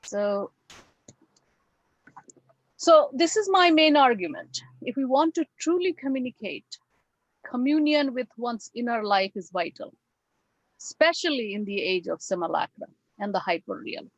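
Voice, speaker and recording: A woman with a Bengali accent, whose first language is Bengali, gives an academic talk over a video call, reflective and full of pauses and fillers. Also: fairly high pitch, lively intonation, dry recording, lo-fi sound. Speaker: guest lecturer.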